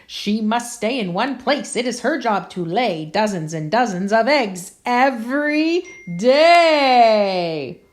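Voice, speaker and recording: A woman's voice: a little high, animated, takes it slowly. A woman reading a children's picture book aloud in a theatrical, emphatic, playful way.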